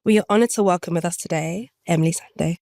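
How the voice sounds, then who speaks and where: A woman co-hosting a podcast, reading a measured, formal introduction of the guest. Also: fairly low pitch, gravelly, a little mellow, no room sound, clean studio sound. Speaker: podcast co-host.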